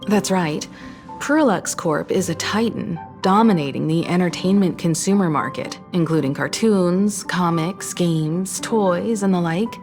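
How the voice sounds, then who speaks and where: A poised, mature mentor figure in a game, with a lowish, even voice. She speaks calmly and with quiet authority, soft music behind her. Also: unhurried. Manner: calm, composed, gently authoritative.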